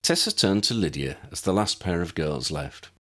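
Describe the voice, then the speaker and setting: A man narrating a scripted story in a measured, expressive storybook manner. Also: low-pitched. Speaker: audiobook narrator and author.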